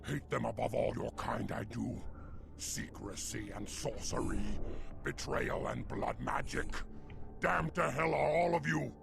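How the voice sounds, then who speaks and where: A man voicing a bitter, distrustful gargoyle, a freed slave in a video game, with a growling, gravelly voice and archaic, menacing speech. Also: mid-range voice, measured pace. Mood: brooding hatred. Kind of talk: dramatic video game voice acting. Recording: music playing behind. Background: music.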